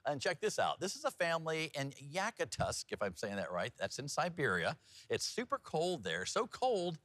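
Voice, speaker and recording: A man's voice: fairly high pitch, huge pitch swings, normal speed, fairly bright tone, no echo. A man anchoring TV news, moving between scripted reading and loose, joking banter. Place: TV news studio.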